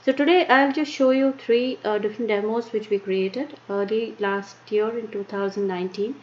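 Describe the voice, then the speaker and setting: A woman with an Indian accent presenting a live-streamed tech lesson, talking haltingly with many fillers and restarts. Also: medium pitch, fairly even intonation, darkish tone. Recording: muffled lo-fi stream with faint noise.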